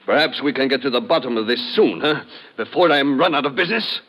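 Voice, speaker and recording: An exasperated fishing magnate. He is fussy and self-important, repeating himself in mid-pitched, vintage radio drama style. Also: lively intonation, fast, a bit bright. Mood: aggrieved. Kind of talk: 1950s radio drama acting.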